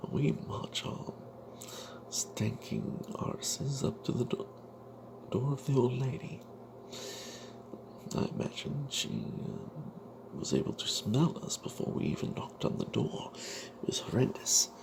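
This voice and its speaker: Male ASMR storyteller as a wry, suspicious cleric: breathy, halting whisper, expressive swings in pitch, crackly low fry. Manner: hushed, halting, in character as a wry adventuring cleric. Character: wry, suspicious adventuring cleric recounting his exploits. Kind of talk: whispered ASMR first-person fantasy tale narration.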